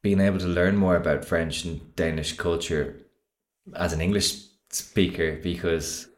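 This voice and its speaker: A male podcast host chats casually with his guests, joking and laughing often. His voice is very low, and the room around him rings with echo. He runs on in long, loose sentences. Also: fairly even intonation, a little slow. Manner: chatty, jokey, laughs often. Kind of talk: casual chat.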